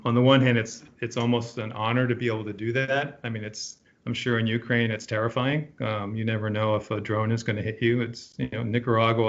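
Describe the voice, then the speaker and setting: A middle-aged man from Puerto Rico, a physician being interviewed, speaking in an earnest, thoughtful way with frequent pauses and restarts. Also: on the low side, muffled recording. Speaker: guest, emergency physician. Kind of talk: reflective interview conversation.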